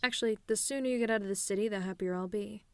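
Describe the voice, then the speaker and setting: A woman's voice: medium pitch, rapid-fire, a little mellow, clear, resonant tone, no echo, lightly acted. A woman playing a cocky, sarcastic tomboy demon with a casual, teasing delivery in an audio roleplay. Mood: exasperated. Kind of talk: ASMR-style scripted roleplay monologue.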